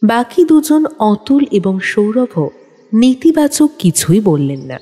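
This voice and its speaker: A woman's voice: a little high, sing-song, slow, warm tone, husky. A woman narrating a detective story from a script in a dramatic style. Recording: long studio reverb, music underneath.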